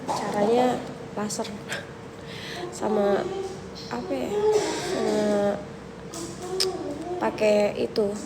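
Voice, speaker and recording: A young woman, slightly under the weather with a cold, talks casually to her viewers. Her voice has a gravelly, creaky edge. The livestream audio carries clear background noise. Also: medium pitch, very slow, pauses often. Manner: chatty, relaxed, thinks aloud with fillers. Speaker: livestream host answering viewers. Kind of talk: casual live chat reading viewer questions.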